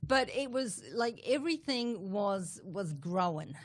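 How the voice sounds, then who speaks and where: A woman's voice: medium pitch, animated, measured pace. A middle-aged woman with a New Zealand accent, talking casually as an interview guest, full of fillers and repeated words.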